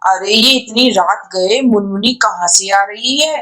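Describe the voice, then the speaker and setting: A woman's voice: mid-range voice, unhurried, fairly bright tone, faint music behind, lightly acted. A woman voicing an older, exasperated mother-in-law pigeon in a cartoon story, with a dramatic, scolding manner. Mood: puzzled, suspicious. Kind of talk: Hindi animated moral story acting.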